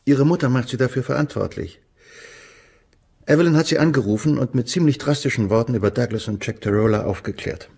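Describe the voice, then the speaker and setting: An actor playing an earnest, concerned male investigator in a German radio crime drama, with a gravelly, husky voice. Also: mid-range voice, fairly quick, a little mellow.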